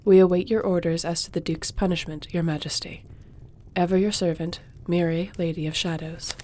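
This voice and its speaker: A woman playing a loyal young sorceress who advises a king, speaking in a measured, reassuring way. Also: fairly low pitch, fairly even intonation, warm tone, lightly acted. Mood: steady. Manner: measured, reassuring, reads reports aloud. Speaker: audio roleplay performer. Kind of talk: fantasy audio roleplay.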